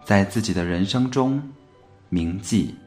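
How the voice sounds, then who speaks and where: A man presenter giving a measured, reflective scripted narration in a literary, recited style. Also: deep voice, animated, darkish tone, faint music behind. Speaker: podcast presenter.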